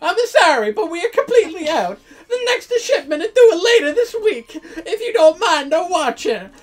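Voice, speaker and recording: A woman's voice: extremely high pitch, very animated, moderate pace, soft background music, pitched above the performer's own voice. A woman voicing an over-the-top comic Italian market supplier with an exaggerated mock Italian accent, half-laughing. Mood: comic, apologetic.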